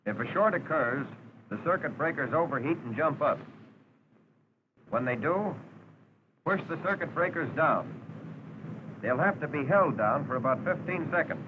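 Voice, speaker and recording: A man with an American accent narrating a 1940s instructional training film in a measured, instructive manner. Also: a little high, lively intonation. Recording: noisy 1940s film soundtrack.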